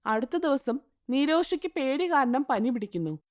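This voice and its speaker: A woman narrating an animated moral story in a scripted storybook style. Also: high voice, moderate pace. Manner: even, storytelling tone.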